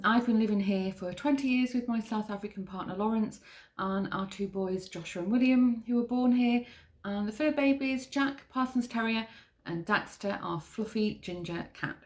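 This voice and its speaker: Middle-aged woman, Manchester accent, podcast host, rambling chatty delivery, mid-pitched voice. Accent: Northern English (Manchester). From Manchester, England. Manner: chatty, rambling, with many fillers. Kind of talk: casual chat.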